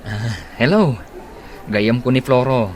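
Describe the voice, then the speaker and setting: A man's voice: mid-range voice, takes it slowly, slight background noise, natural voice. A man playing a frank, chummy workmate and family man in a radio drama, with a Filipino accent.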